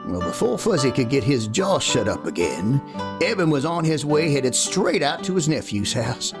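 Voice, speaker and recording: A man's voice: mid-range voice, big pitch swings, normal speed. A man playing a folksy, easygoing cowboy who tells a story in an old-time radio play, full of homespun asides. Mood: lively, amused. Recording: guitar music playing behind.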